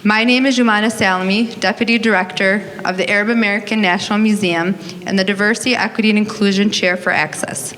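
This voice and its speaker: Woman, formal measured reading, event introduction, long auditorium reverb, faint hiss. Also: medium pitch, fairly even intonation, fairly bright tone. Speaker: museum deputy director introducing speakers. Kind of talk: prepared introduction read aloud.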